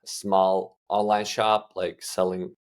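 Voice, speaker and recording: A man's voice: low voice, little pitch movement, slow. A young man from Uzbekistan, a guest answering interview questions in a loose, casual way with lots of fillers and restarts. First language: Russian. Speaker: guest entrepreneur.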